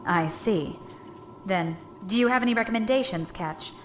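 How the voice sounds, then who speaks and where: A woman playing a composed fleet leader in a science fiction audio drama, brisk, formal and decisive. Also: medium pitch, slight background noise, close to an everyday voice. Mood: composed, businesslike. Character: composed female fleet leader seeking tactical advice. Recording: echoey room, faint background ambience.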